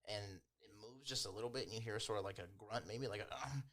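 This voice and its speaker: A man acting as game master, improvising tabletop game narration in an informal, hedging, unhurried way. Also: on the low side, a bit bright.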